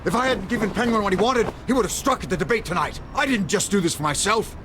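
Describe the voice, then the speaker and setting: An actor playing a corrupt middle-aged mayor in a video game, blustering, indignant and easily rattled. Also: male voice, sits high, animated, quick pace, noisy background. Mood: bitter, justifying. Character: corrupt, panicky middle-aged male city mayor, blustering and self-serving. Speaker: politician character. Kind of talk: dramatic video game acting.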